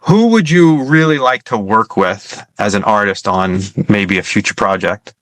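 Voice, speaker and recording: Man, lowish voice, dry sound, casual interview host. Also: animated, normal speed, a bit bright, dry recording.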